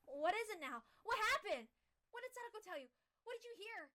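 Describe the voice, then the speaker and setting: An earnest schoolboy, voiced by a woman, high and bright in tone. He is anxious and urgent, faltering as he pleads in a dramatic visual novel reading. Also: big pitch swings, fairly quick, full character voice. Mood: distressed. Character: earnest worried teenage schoolboy, pleading with his friend.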